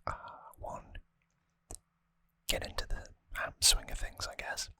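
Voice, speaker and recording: An adult ASMR host whispering softly and hesitantly in an unhurried ramble, close to the microphone. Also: no room sound.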